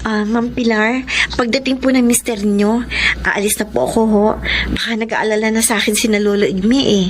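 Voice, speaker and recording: A woman's voice: a little high, fairly even intonation, normal speed, slight background noise, close to an everyday voice. An actress playing a humble, grateful young single mother in a Filipino radio drama, speaking politely and earnestly. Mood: polite, gentle.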